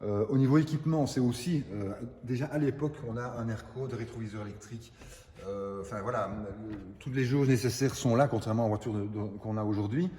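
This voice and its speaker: A man's voice: medium pitch, brisk, mellow, big-room sound, a little hiss or hum. An older man, explaining car restoration in a casual interview, hesitant and repeating words. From Italian roots.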